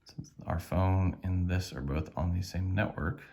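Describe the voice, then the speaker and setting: A man's voice: low voice, fairly even intonation, measured pace, creaky, darkish tone. A man giving a casual hands-on tutorial, thinking aloud in a tentative way as he demonstrates a device. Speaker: product reviewer demonstrating a device.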